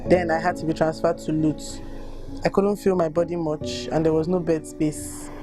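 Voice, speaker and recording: A woman's voice: low voice, level tone, normal speed. A woman with a Nigerian accent, reading personal accounts aloud and speaking candidly about them. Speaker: volunteer reader reacting to written accounts. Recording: music bed under the voice. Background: music.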